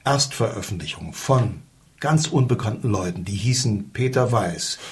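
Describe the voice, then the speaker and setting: A man's voice: lowish voice, expressive, moderate pace. A man recalling past work in an interview, speaking conversationally. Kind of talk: spoken recollection.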